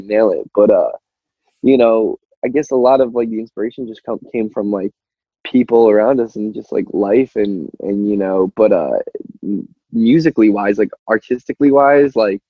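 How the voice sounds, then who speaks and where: A man's voice: on the low side, no echo. A young man chatting casually as a musician in an interview, rambling informally with lots of "like", on a slightly muffled recording. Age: young adult.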